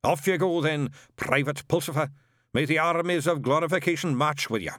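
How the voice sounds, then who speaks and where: A man voicing a gruff, zealous old male witchfinder sergeant. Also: fairly high pitch, normal speed, a bit bright, dry recording, clean studio sound, pitched above the performer's own voice, close to an everyday voice. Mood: wistful.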